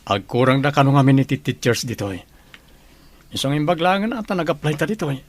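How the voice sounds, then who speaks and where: A man's voice: mid-range voice, fast, close to an everyday voice. A man with an Ilocano accent playing a patient, humble schoolteacher in an audio drama. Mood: earnest. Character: earnest, patient male schoolteacher, humble and hardworking. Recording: clearly noisy background.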